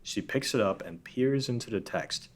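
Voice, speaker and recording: A man reading out scene directions in an even, matter-of-fact way at a table read. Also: fairly low pitch, normal speed.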